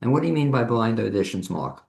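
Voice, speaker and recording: Gravelly man interviewing in an echoey room. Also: mid-range voice, expressive, fast, darkish tone. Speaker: host, interviewer. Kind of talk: conversational interview questioning.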